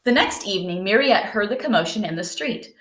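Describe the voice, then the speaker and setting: A woman's voice: medium pitch, lively intonation, a little fast, fairly bright tone. A woman reading a children's story aloud, narrating expressively. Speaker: storybook reader. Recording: roomy indoor echo.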